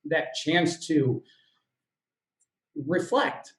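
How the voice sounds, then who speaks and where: A man from the United States hosting an interview, talking in a relaxed, easygoing way with fillers. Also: a little high, extremely slow. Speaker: host. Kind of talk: casual interview chat. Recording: echoey room.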